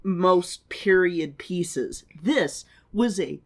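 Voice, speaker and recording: A woman's voice: lowish voice, lively intonation, drawn-out words. A woman co-hosting a podcast in casual chat, gushing and swearing as she reacts. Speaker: podcast co-host.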